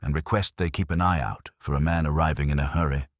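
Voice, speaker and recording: A man voicing a courteous, quietly incisive police inspector in a detective story, mild and understated. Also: very deep voice, steady tone, fast talker, dry recording, clean studio sound. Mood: quietly grave.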